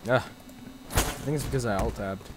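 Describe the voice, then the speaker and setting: A man's voice: mid-range voice, moderate pace. A young man casually commenting as he plays a co-op zombie game with a friend, chatty and a bit profane. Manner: laid-back, chatty, swears casually. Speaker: channel host playing a co-op zombie game. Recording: home game capture with faint game noise.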